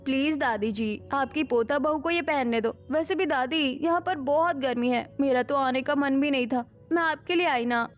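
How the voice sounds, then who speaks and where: Pampered young city bride played by a woman for a cartoon tale: high pitch, pouty, light music behind. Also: normal speed, few pauses, close to an everyday voice. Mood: pleading, whiny. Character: spoilt, pouting young city daughter-in-law. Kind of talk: Hindi animated moral story dialogue.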